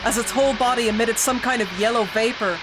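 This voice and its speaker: A woman streamer reading a spooky visual novel aloud, breaking into jokey, excitable reactions between calm narration, with music behind her. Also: fairly high pitch, quick pace, poor audio.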